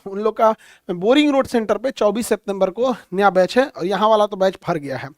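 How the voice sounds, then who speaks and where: High-pitched man teaching in Bihari-accented Hindi. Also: no room sound, studio quality. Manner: colloquial, blunt, mocking, rhetorical questions. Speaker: teacher and coaching instructor. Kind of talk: informal classroom lecture with comic asides.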